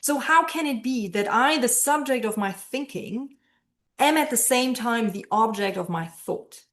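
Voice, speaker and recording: A woman speaking as an interviewed philosophy professor, explaining ideas in a thoughtful way and posing questions to herself. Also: on the high side.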